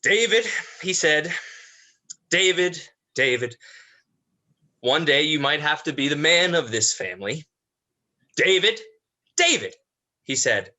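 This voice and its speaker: A man playing an anxious, awkward eldest brother turned killer in a horror play reading, rambling and halting in delivery. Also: a little high, sharp tone, heavily acted. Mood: bitter, agitated.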